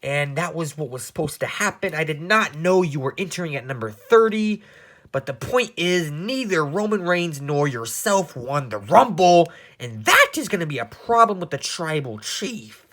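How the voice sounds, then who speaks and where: A man's voice: on the high side, sing-song, normal speed, fairly bright tone. Guy playing a polite wheedling wrestling manager, voice swings all over. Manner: formal, wheedling. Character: smooth-talking male wrestling manager.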